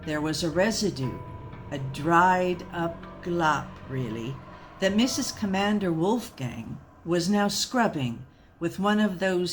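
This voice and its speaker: Woman, storyteller, lowish pitch, very unhurried, dramatic comic narration, deliberate, mock-serious, faint background music.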